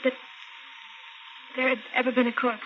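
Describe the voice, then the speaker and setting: An actress playing a nervous, frightened young woman in an old radio thriller, halting and anxious in melodramatic style. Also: high-pitched, flat delivery, soft background music, lightly acted. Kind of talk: 1940s radio thriller acting. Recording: muffled, very noisy old radio recording.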